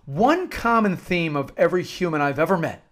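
High-voiced American man preaching earnestly. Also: animated, moderate pace, a bit bright. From United States. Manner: earnest, emphatic, repeats phrases for emphasis. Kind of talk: informal devotional talk with scripture-like readings.

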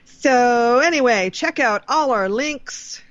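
A woman's voice: a little high, lively intonation, slow, fairly bright tone, no echo, dull audio. A woman co-hosting a podcast in casual, informal, sweary chat. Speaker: podcast co-host.

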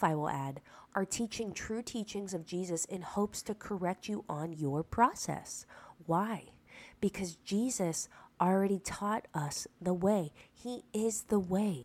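A woman's voice: mid-range voice, darkish tone. A woman hosting a preaching-style podcast, earnest and emphatic, a little sick, checking in with the listener through rhetorical asides. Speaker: podcast host.

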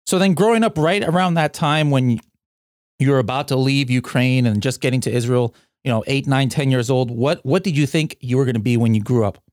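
A man's voice: medium pitch, fairly quick, a little mellow, dry recording, clean studio sound. A man hosting a podcast, chatting casually and joking with guests, with lots of fillers and restarts. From United States. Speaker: podcast host. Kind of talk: casual interview chat.

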